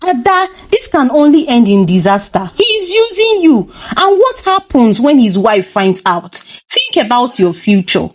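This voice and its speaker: Woman voicing an earnest, high-voiced worried female friend. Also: big pitch swings, measured pace, hardly any pauses. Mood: alarmed warning. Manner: earnest, cautioning.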